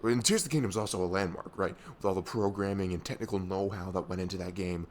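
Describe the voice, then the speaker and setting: A fairly low-pitched young guy played by a man talks as an affectionate, nerdy best friend in an audio roleplay. He rambles casually and excitably, stumbling over his words and poking fun at himself. There is noticeable noise behind his voice. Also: fast, natural voice. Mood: animated, griping. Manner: rambling, hesitant, self-deprecating, chuckling, informal. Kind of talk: intimate ASMR roleplay, casual rambling monologue.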